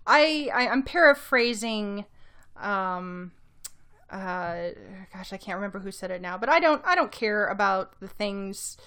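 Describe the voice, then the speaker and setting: A woman with a middle-pitched voice speaks as a podcast co-host. Her delivery is conversational and dry, laid out in measured phrases. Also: lively intonation, fairly bright tone. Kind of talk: casual explanatory chat.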